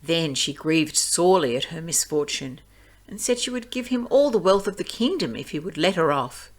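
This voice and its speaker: A woman narrates a fairy tale in a fairly low voice. Her reading is expressive and animated, with pitch that rises and falls freely as a storyteller's does. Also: normal speed.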